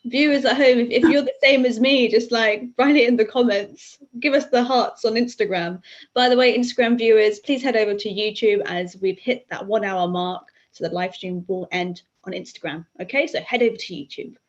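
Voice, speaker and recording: A young woman hosting a livestream in a chatty, gushing way, with "like" scattered through her sentences. Her voice sits a little high. The sound is dull and lo-fi. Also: moderate pace. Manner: chatty and gushing, many "like" fillers. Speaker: livestream host.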